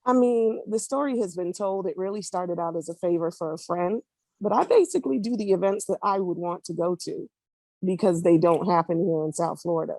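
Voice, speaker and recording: A middle-aged woman from Barbados speaks in a mellow, slightly low voice, recorded dry and close. She chats informally as an interview guest and repeats phrases for emphasis. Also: fairly quick, dry recording, poor audio. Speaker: guest, event promoter and curator. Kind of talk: casual interview chat.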